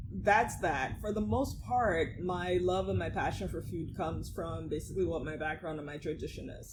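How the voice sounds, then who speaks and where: A woman around forty, a startup founder talking as a guest in a casual interview, animated, laughing often and saying um a lot. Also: low-pitched, background noise. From Nigeria.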